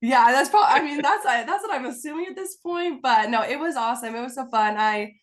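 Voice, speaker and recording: A woman's voice: sits high, expressive, brisk. A woman guest on a video call, slightly stuffy from a cold, talking in a bubbly, giggly, rambling way full of "like"s. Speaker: guest, content creator. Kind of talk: casual video-call interview. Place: home, indoors.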